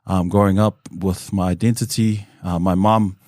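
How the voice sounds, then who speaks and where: A man in his thirties with a low voice shares his story in an easy, candid way. He fills his pauses with "um" and "kinda". The sound is close and dry, with no room around it. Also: no room sound. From New Zealand. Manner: relaxed and open, with frequent "um" and "kinda". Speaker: guest.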